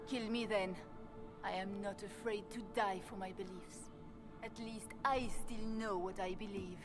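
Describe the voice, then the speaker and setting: Actress as defiant devout captive, music behind. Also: female voice, mid-range voice, fairly even intonation, a little slow, natural voice. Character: defiant, devout Chantry woman agent held captive. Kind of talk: dramatic fantasy game acting. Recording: loud game music under voice.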